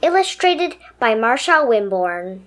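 A woman hosting a children's read-aloud, presenting a picture book in an expressive style. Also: on the high side, unhurried. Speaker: children's story reader and host.

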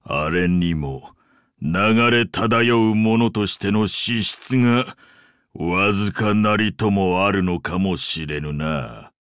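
A man voicing an ancient, imperious sea-god father in a Japanese game, speaking in a pompous, archaic and deliberate way with grand indulgence. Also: deep voice, a bit bright, clean tone, no echo, clean studio sound. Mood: solemn, musing. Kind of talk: Japanese game character voice acting.

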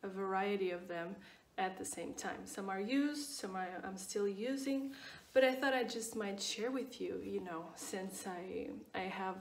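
A woman's voice: mid-range voice, measured pace, recorded from a distance, slight background noise. Portuguese-accented woman in an echoey room. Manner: chatty, repeats words, frequent fillers. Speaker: skincare vlogger. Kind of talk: casual product review.

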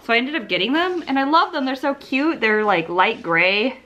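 A woman's voice: high voice, expressive, normal speed, bright, forceful tone, highs cut off. A woman in her thirties chatting casually through a beauty haul at home, upbeat and full of "like" fillers, seated close to the camera. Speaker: beauty vlogger. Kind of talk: casual product haul chat. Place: living room at home, indoors. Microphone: camera mic, seated close to the camera.

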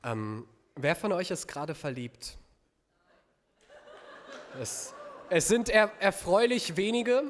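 A man's voice: sits high, very animated, takes it slowly, pauses often, a little hiss or hum. A young man performing comedic spoken word on stage at a microphone, with a theatrical delivery and sardonic asides. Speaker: poetry slam performer. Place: theatre stage before a live audience. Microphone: microphone on a stand.

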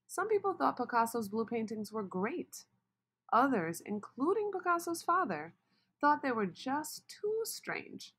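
A woman reading a children's picture book aloud, expressive and asking the listener questions. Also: medium pitch, husky. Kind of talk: read-aloud storybook narration.

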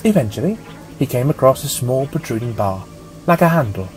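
A man narrating a scripted story as an audiobook reader, with a measured storytelling manner. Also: medium pitch, very animated, music in the background, low-quality recording.

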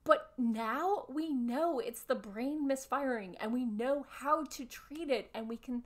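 A woman's voice: sits high, measured pace. A woman in her thirties giving an earnest, emphatic explanatory talk to camera, recorded indoors at home. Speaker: video presenter summarizing a discussion panel. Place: small furnished room at home, indoors.

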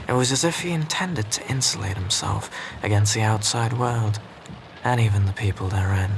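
A man playing a reflective, observant storyteller, narrating a radio drama in measured, literary phrases. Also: lowish voice, gravelly, background noise.

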